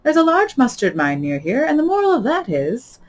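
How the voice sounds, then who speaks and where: A woman's voice: sits high, wildly swooping pitch, normal speed, voice pushed up, exaggerated voice. A woman voicing a pompous older noblewoman in a storybook, gushingly agreeable, with emphatic, sententious pronouncements. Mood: pleased, moralising. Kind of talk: storybook character voice.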